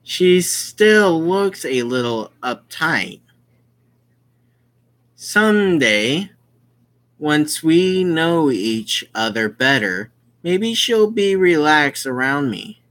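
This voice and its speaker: A gamer reading a visual novel aloud with casual commentary, halting and often explaining who is speaking. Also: medium pitch, sing-song, fairly bright tone. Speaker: gaming video host.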